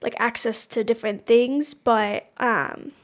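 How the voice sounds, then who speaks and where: A woman's voice: a little high, fairly even intonation, measured pace. A woman in her twenties co-hosting a podcast, talking casually and rambling, searching for words with lots of fillers. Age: 20s. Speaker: podcast co-host. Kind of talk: casual chat.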